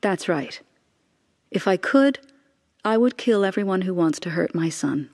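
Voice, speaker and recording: A woman's voice: fairly low pitch, animated, a little slow, a little mellow. Intense, devout mother in anguish. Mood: bitter, defiant. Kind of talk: radio drama acting.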